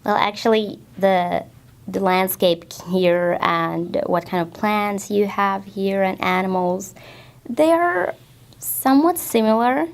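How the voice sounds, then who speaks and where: Young finnish woman, student teacher, short careful answers, bit of vocal fry. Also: medium pitch, takes it slowly. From southern Finland. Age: young adult. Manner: brief, plain answers in careful English. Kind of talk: casual interview answers.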